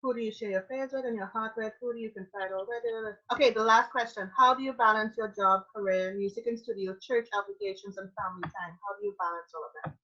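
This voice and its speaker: A woman's voice: medium pitch, quick pace, few pauses, sharp tone, lo-fi sound. A woman with a Trinidadian accent, interviewing a guest in a casual live-streamed chat, speaking with energy and enthusiasm and sometimes slipping into preaching.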